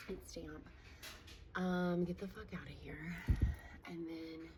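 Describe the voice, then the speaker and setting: A woman in her thirties giving a casual handheld video tour at home, hushed and often whispering, exasperated and sweary. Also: on the low side, steady tone, slow. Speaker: vlogger. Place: indoors at home, in a kitchen. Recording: noisy handheld clip.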